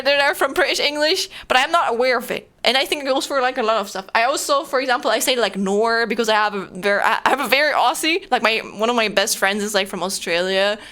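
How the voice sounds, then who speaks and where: A woman's voice: fairly high pitch, lively intonation, normal speed, bright, forceful tone. A young German woman, a native German speaker with a German accent, chatting casually with her stream viewers in a rambling way full of fillers. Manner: rambling, filler-heavy, frequent laughter.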